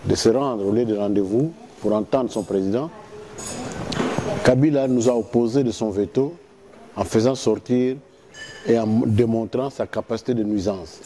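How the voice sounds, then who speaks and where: A man giving a grave, emphatic political address in deliberate phrases. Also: mid-range voice, dark tone. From Democratic Republic of the Congo. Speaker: political activist.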